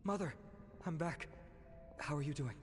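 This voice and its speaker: A man's voice: fairly high pitch, normal speed, close to an everyday voice. A man playing a bitter, self-justifying researcher turned criminal in a dramatic, indignant game monologue. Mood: brooding narration.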